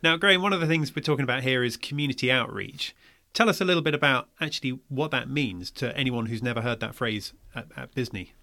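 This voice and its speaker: A man's voice: mid-range voice, quick pace, vocal fry, pushed and bright. A man hosting a school podcast, opening with a scripted introduction and then asking polite questions of his guest. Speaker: podcast host.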